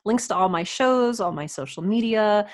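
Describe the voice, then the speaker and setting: A woman's voice: mid-range voice, lively intonation, normal speed. A woman chatting casually and enthusiastically as a guest in an informal interview. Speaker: podcast guest, writer and audio drama producer.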